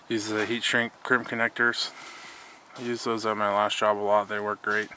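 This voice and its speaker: A man's voice: fairly low pitch, measured pace, pushed and bright, slight background noise. An American man giving an informal, laid-back walk-through for a casual vlog, recorded handheld outdoors. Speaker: vlogger, mobile welder.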